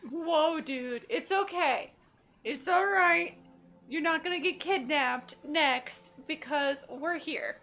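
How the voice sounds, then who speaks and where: A woman's voice: sits high, slow pace, fairly bright tone. A woman hosting a casual gaming playthrough, jokily paraphrasing what the characters say. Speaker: gaming video host and player.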